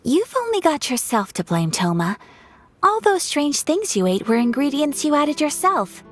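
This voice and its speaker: A woman playing a refined young noble lady in a video game, gentle, composed and earnest, speaking with formal politeness. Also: sits high, big pitch swings, moderate pace, dry recording. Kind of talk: video game character acting.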